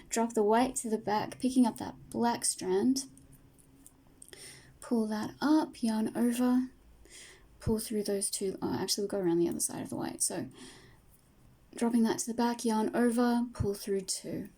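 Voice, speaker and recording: A woman teaching crochet step by step, patient and soft-spoken, her voice tired and fading from a long day of talking. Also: fairly high pitch, fairly even intonation, measured pace, pauses often. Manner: soft, patient, talks through each stitch. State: losing her voice. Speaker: crochet tutor. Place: home table, indoors.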